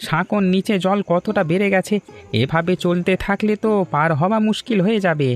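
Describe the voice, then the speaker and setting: A man voicing a helpful, steady village uncle in an animated story, advising and reassuring his neighbours. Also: high-pitched, a little fast, lightly acted. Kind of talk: Bengali animated story dialogue.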